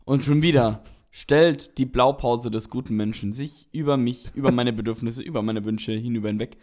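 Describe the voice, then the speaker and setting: A man's voice: mid-range voice, normal speed, a little mellow. A young man co-hosting a casual podcast, joking around in a playful, silly way. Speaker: podcast co-host. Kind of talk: casual chat.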